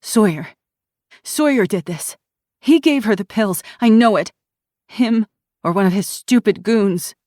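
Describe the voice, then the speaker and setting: Woman voicing a shrill, desperate teen girl; high and loud. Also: moderate pace, no room sound, studio quality. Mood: angry. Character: frantic teenage girl begging her mother.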